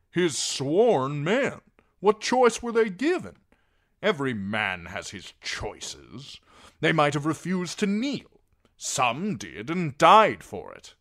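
Male narrator as blunt honest fantasy knight, impassioned, insistent, raised animated pitch, rhetorical. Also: no room sound, clean studio sound, full character voice. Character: earnest, blunt, plain-spoken male knight pleading for mercy.

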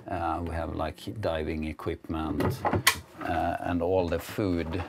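A Swedish man in his fifties talks through the cabin of his sailboat in accented English, halting often on "uh". His voice sits very low with a gravelly edge, and he speaks slowly. He is recorded on a lapel mic inside the small wooden cabin. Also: flat delivery, heavy background noise. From Sweden. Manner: hesitant, many "uh" fillers, friendly. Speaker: sailing vlogger giving a boat tour. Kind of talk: casual guided tour. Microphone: clip-on lapel mic.

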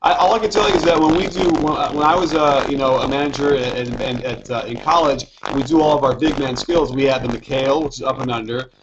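A man's voice: mid-range voice, quick pace, few pauses. A man in his forties with an American accent hosting a casual basketball chat over a video call from a living room. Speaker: interview host, basketball coach. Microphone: video call, computer microphone. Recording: dull, noisy video-call audio.

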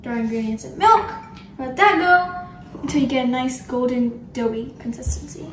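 Teen girl home-cooking host, high-pitched, enthusiastic, echoey kitchen room. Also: animated, moderate pace, heavy background noise. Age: teens. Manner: bubbly, chatty, enthusiastic. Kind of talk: casual cooking demo.

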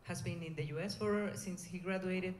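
A middle-aged woman with a Venezuelan Spanish accent gives an informal conference talk, speaking in a hesitant, halting way. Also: lowish voice, steady tone, darkish tone. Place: indoor conference room. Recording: echoey room, clear background noise.

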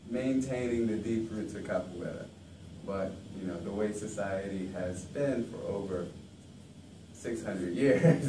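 A young man teaching about capoeira in a relaxed, conversational way, recorded from across a classroom. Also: fairly low pitch. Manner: relaxed, conversational, frequent "you know". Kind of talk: informal classroom talk. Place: large classroom, indoors. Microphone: far camera. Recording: echoey room, distant mic, background noise.

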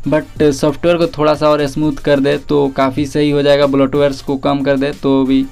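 A man's voice: a little high, monotone, normal speed, darkish tone, clear, resonant tone. A young man giving a casual tech tutorial voice-over, addressing viewers familiarly and mixing in English words. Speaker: tech tips video presenter. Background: background music.

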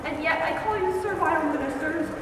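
A woman's voice: sits high, fairly quick, natural voice. A young woman voicing an aged, raging old king during a storm, in an amateur class-project puppet reading. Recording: echoey room, far from mic, background noise.

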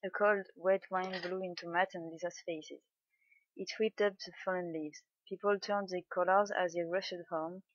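A woman's voice: fairly low pitch, steady tone, normal speed, dry recording. A young woman from France with a French accent, chatting awkwardly and a bit shyly in a casual voice meme, reading words aloud. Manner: awkward, shy, laughing at herself. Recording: dry, dull lo-fi home mic.

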